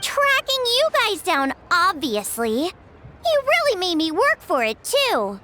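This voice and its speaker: A woman's voice: extremely high pitch, huge pitch swings, slow pace, very noisy, full character voice. A woman voicing a spirited young chef girl in a video game, bubbly, teasing, bossy and caring. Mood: teasing, scolding. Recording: music behind the voice. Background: music.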